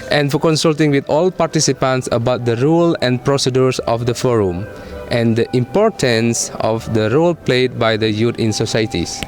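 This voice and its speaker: A man speaking as an interviewer, asking questions in stiff, formal, halting English. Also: mid-range voice, faint music behind. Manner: formal, halting non-native phrasing. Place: conference venue.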